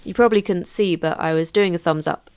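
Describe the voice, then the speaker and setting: A woman's voice: lowish voice, brisk, a little mellow, a little hiss or hum, lightly acted. A woman acting the terse, controlling manager of a secret facility in a sci-fi horror podcast, with a dry delivery. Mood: wry.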